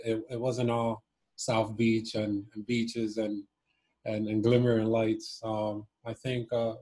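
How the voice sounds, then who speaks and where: A man in his twenties from Cuba and Miami, chatting casually and thoughtfully as an interview guest, pausing as he searches for words. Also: on the low side, mellow. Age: 20s. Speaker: interview guest, former basketball player. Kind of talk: casual reflective interview chat.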